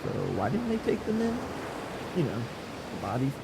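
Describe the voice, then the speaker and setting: A man's voice: fairly high pitch, very animated, normal speed, noisy background. A man playing an earnest, questioning and sarcastic police officer in a naturalistic audio drama. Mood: skeptical. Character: restless, questioning male beat cop, earnest and sarcastic.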